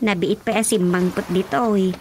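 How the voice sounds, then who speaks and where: An actress with an Ilocano accent playing an outspoken, gossipy wife in a comic radio drama. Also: female voice, medium pitch, fairly even intonation, a little fast, darkish tone, a little hiss or hum, natural voice. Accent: Filipino, Ilocano. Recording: radio drama with music underneath.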